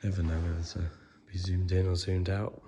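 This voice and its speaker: A man with a cold, croaky and hoarse, chatting casually with lots of ums while filming a walkaround of his truck restoration in a workshop. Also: sits low, moderate pace, slight background noise. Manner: relaxed, many ums, trailing off.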